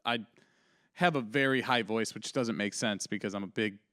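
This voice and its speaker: Smooth-voiced man hosting a podcast. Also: on the low side, moderate pace, sharp tone, no echo, clean studio sound. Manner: easygoing, agreeable, laughs often. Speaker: podcast host. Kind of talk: casual chat.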